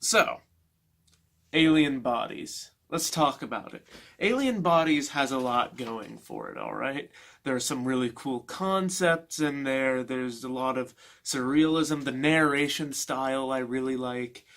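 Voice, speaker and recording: Male book-review vlogger, mid-pitched, informal and opinionated, halting unscripted delivery. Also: sing-song, fairly bright tone. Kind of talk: casual unscripted review chat.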